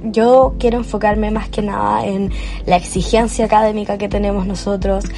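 A chatty young Chilean woman hosts her own podcast, rambling happily and improvising as she goes. Her voice sits at an ordinary pitch, and soft music plays faintly behind her through a modest home microphone. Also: fairly even intonation, normal speed, creaky. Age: young adult. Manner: chatty and cheerful, with fillers and restarts. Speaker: podcast host. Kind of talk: improvised solo podcast monologue. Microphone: cheap home microphone.

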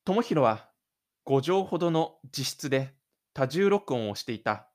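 A man's voice: medium pitch, animated, measured pace, darkish tone. A man reading scripted literary narration for an audio drama.

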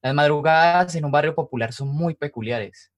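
A man's voice: on the high side, normal speed. Colombian man narrating an informal podcast. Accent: Colombian, from Cali. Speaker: podcast host.

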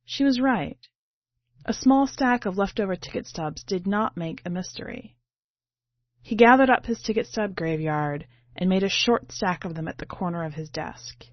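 A woman's voice: mid-range voice, expressive, normal speed. A woman narrating a literary short story as a podcast reader. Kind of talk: literary audiobook-style narration. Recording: muffled, roomy home recording.

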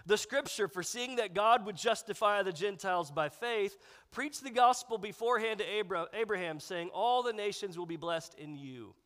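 Pastor, male, high and nasal with sinus congestion, preaching in a long-reverb church hall. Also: lively intonation, normal speed, a bit bright. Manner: conversational preaching with fillers. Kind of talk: sermon. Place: church sanctuary.

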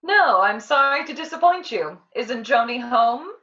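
An actress playing a detective's sharp-witted, teasing wife in a 1940s-style radio drama. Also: female voice, fairly high pitch, animated, unhurried. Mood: arch. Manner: arch, teasing. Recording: dull, distant old radio recording.

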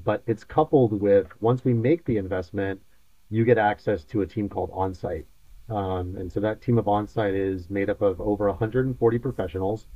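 A man from Mexico who grew up in West Texas, explaining things as a podcast guest in a relaxed, easygoing way with plenty of fillers. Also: sits low, no echo, phone-quality sound. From Mexico, raised in West Texas. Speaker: podcast guest, sales advisor. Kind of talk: casual interview answers.

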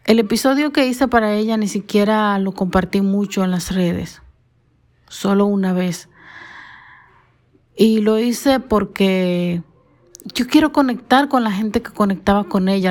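Slow, measured woman podcaster from the Dominican Republic. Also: medium pitch, a little mellow. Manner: careful, measured, formal diction from broadcast training. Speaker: podcast host. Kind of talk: solo podcast monologue.

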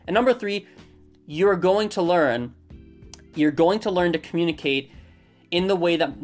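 A language teacher talking at home in a casual, rambling monologue, answering a listener's question and often stopping to correct themselves. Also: mid-range voice. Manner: rambling, self-correcting, quick restarts.

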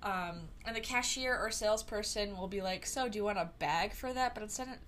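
A woman's voice: mid-range voice, fairly quick, a bit bright. Young woman host, solo podcast, loose rambly delivery full of fillers, faint background noise. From Chicago area. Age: young adult. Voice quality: rambling with many fillers and tangents. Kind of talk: casual solo podcast chat.

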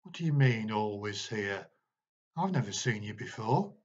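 A man's voice: on the low side, expressive, normal speed, breathy edge. A man voicing an irritable, bossy grown man who barks raised, hectoring questions. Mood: irritated. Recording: dull, muffled recording.

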